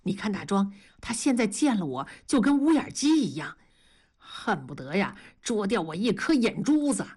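A woman's voice: medium pitch, big pitch swings, moderate pace, darkish tone, slightly rough. A woman voicing a good-natured young male factory worker in an audiobook, earnest and conciliatory in colloquial speech. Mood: earnest pleading.

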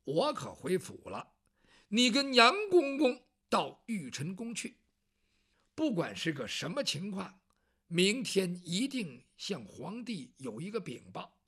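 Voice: medium pitch, big pitch swings, slow pace, fairly bright tone, slightly rough, exaggerated voice. Storyteller doing an old, fatherly prime minister. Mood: instructive.

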